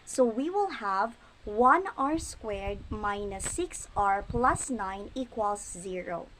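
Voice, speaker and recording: A woman's voice: medium pitch, animated, takes it slowly, a bit bright, a little hiss or hum, rough recording. A young woman presenting a math lesson as a student reporter, explaining each step carefully. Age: young adult.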